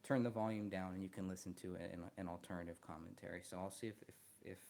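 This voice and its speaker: A soft-spoken man chatting casually on a live stream, hesitant, with many ums and restarts, close to the mic. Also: low voice, fairly even intonation, fast, creaky, slight background noise.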